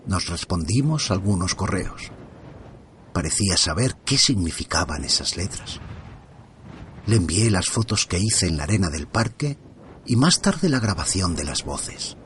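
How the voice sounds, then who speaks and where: A man with a very low voice tells a dark first-person mystery in a tense, hushed way. He slips in and out of whispers as the story builds. A faint hiss sits under his voice. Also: very animated, a little slow. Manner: hushed, suspenseful, drops into whispers. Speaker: horror podcast narrator. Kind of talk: scripted horror narration.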